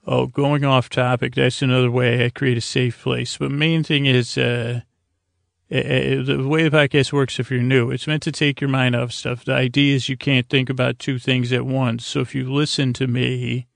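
A man with a creaky voice hosting a bedtime podcast, rambling in a halting, lulling and soothing way to help listeners sleep. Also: mid-range voice, flat delivery, no room sound. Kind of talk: rambling, meandering monologue for falling asleep.

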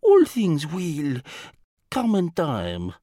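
A man voicing a calm male spy who speaks in a slow, hushed, soothing way. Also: fairly high pitch, dry recording, studio quality, voice pushed up, heavily acted.

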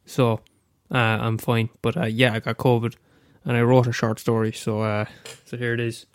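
A man's voice: medium pitch, little pitch movement, normal speed, smooth, dry recording. A young Irish man with a head cold, reading aloud a short story he wrote, after a hesitant casual introduction. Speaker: amateur storyteller.

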